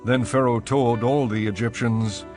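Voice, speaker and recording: A man narrating scripture from a script in a measured, formal way. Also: medium pitch, fairly even intonation, a little mellow, faint music behind.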